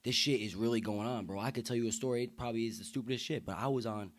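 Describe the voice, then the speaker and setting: A deep-voiced young man from the United States speaking earnestly and emphatically in casual, slang-heavy conversation as a podcast guest. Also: rapid-fire.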